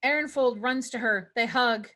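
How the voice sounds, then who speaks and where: Bright-voiced woman reading stage directions. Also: on the high side, moderate pace. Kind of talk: scripted narration at a table read.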